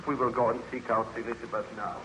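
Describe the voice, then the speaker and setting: An actor playing a cautious, disapproving gentleman friend with formal, earnest diction in an old-time radio drama. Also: mid-range voice, level tone, fast, lightly acted. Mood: reluctant. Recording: slightly noisy vintage radio recording.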